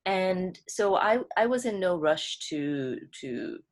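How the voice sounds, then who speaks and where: A woman, a co-translator, explaining her work at an online book club in a thoughtful, halting way with many restarts. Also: on the low side, lively intonation. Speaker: co-translator speaking as a guest.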